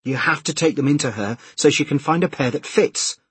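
Man acting a harried, exasperated man, emphatic and clear. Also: medium pitch, normal speed, no echo, lightly acted. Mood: urgent pleading. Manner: emphatic, insistent. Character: harried, exasperated man pleading and ranting urgently. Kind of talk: audiobook character dialogue.

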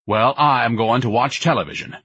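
A man's voice: medium pitch, expressive, normal speed, fairly bright tone, husky, no echo, voice pushed up. A man voicing a pompous, bombastic, indignant grown son who declaims haughtily and theatrically in an audiobook. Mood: irritable, imperious.

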